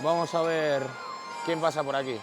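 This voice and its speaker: A man's voice: fairly high pitch, fairly even intonation, moderate pace. A man, hosting a casual match chat from the stadium stands, joking and using slang. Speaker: host. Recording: loud crowd and music behind.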